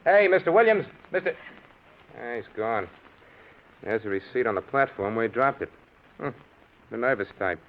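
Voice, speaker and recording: Man playing offhand warehouse clerk, medium pitch, all business, muffled old radio sound. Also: animated, normal speed, pauses often, natural voice. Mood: musing. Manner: plain-spoken, businesslike. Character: brisk, offhand working-class warehouse night clerk. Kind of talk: 1940s radio drama acting. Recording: dull old radio broadcast.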